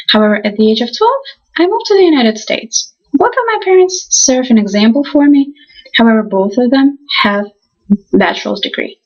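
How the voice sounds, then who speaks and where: A young woman reads her class presentation in an earnest, halting way, often stopping to restart her sentences. Her voice sits a little high and sounds breathy. The recording is muffled and lo-fi. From Ukraine. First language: Russian. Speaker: college student.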